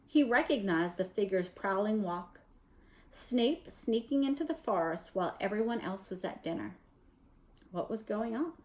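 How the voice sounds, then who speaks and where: A woman reading a children's novel aloud as narrator, in an expressive storytelling style. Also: mid-range voice, rough recording. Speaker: story reader.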